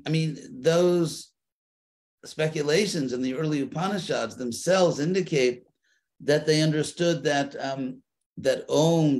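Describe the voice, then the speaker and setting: A man teaching a study group, answering questions thoughtfully, often restarting and repeating words as he searches for phrasing, with a gently humorous touch. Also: on the high side. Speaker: spiritual teacher.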